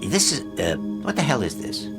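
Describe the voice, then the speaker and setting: An actor playing an eccentric, irritable middle-aged professor in a comic science fiction radio play, pompous and stammering when flustered. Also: male voice, medium pitch, wildly swooping pitch, normal speed. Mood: blustering, puzzled. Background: music.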